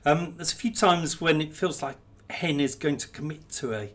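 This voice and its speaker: A man's voice: on the high side, normal speed, a bit bright. A man interviewing on a podcast in a reflective, conversational way, with hesitant, tentative phrasing. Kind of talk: conversational, reflective book discussion.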